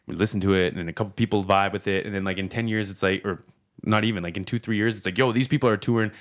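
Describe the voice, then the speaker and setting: A man's voice: on the low side, steady tone, rapid-fire. A man chatting casually as a podcast host, with informal slang and an emphatic, opinionated way of talking. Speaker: podcast host and reaction video creator.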